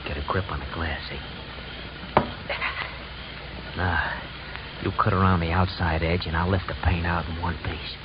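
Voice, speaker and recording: A shady, resentful American male crook played by a radio actor, low-voiced and edgy. He talks tough and pushes insistently, in 1950s radio crime drama style. The recording is muffled, with loud noise throughout. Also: little pitch movement, fairly quick, lightly acted. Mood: tense, businesslike. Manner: agitated, insistent, tough-talking.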